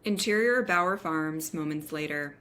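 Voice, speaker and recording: Lower-voiced woman narrating a script. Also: measured pace, fairly bright tone, slight background noise. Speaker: narrator reading stage directions.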